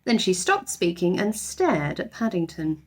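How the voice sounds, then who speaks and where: A woman's voice: fairly low pitch, sing-song, unhurried, breathy edge. A woman reading a children's story aloud as scripted narration. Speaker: storybook reader, teacher.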